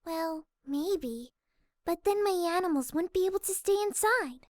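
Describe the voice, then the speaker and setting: A shy lil girl pegasus pony, super high timid voice, gentle, dry close sound, cartoon fanfic drama. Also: expressive, measured pace, dry recording. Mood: gentle, content. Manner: timid, hesitant, soft-spoken. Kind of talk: fan fiction audio drama.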